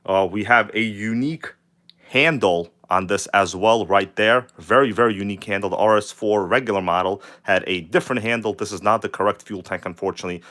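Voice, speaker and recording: A hoarse man with a cold hosts a live stream in a fairly low voice. He speaks, hyped and casual, calling out to viewers as he goes. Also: fairly quick, pushed and bright. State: hoarse from a cold, nearly lost voice. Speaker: channel host. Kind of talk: live stream chat about RC cars.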